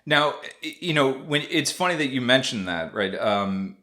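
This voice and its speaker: A man's voice: medium pitch, animated, moderate pace, pushed and bright. A man hosting an interview podcast, asking long, rambling questions full of restarts and fillers. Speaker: podcast host. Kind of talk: casual interview chat.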